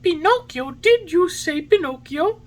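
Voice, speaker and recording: A woman's voice: very high voice, animated, moderate pace, sharp tone, pitched above the performer's own voice, heavily acted. A woman voicing a childlike, emotional little wooden puppet boy in a storybook, with a plaintive, exclamatory delivery. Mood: tearful, startled.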